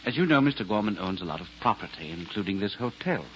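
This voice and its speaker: A man's voice: deep voice, lively intonation, fast talker. An actor playing a gangster's articulate right-hand man in a 1940s radio drama, speaking in wordy, formal phrases with dry irony. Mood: suave, dry. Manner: wordy, formal, drily ironic. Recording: muffled, faintly noisy old radio recording.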